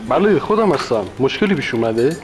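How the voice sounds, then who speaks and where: A man's voice: mid-range voice, sing-song, recorded from a distance, voice pushed up, natural voice. A man voicing an anxious father who talks in a worried, pleading way.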